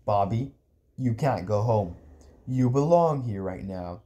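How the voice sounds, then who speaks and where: A steady uncle and mentor, voiced by a male narrator, speaks with patient firmness. His voice is dropped low and mellow, each phrase slow and sure, in audiobook storytelling. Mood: grave, firm. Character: calm, firm, strong adult uncle and mentor.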